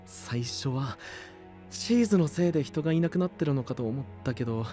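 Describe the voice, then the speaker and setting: A man plays a level-headed young knight from an anime audio drama. His delivery is casual and dryly sceptical, with lively swings of pitch on each retort, over soft background music. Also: fairly quick, close to an everyday voice. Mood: dry, thoughtful. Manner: casual, dry questioning, exasperated retorts. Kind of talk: anime-style voice drama acting.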